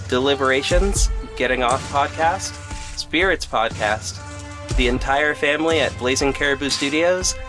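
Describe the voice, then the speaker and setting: A man's voice: mid-range voice, normal speed. A man who writes, directs and produces the show, reading its closing credits in a plain, friendly way. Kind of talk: credits read for a podcast. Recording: clear music bed.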